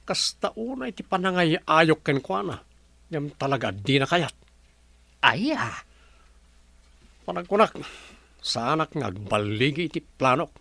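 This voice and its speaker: A man doing a jittery Ilocano servant who stammers and apologizes to his angry boss. His pitch jumps about unevenly, and he sounds anxious all the time. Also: vocal fry, a bit bright, no room sound, exaggerated voice. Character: timid male servant, nervous and stammering.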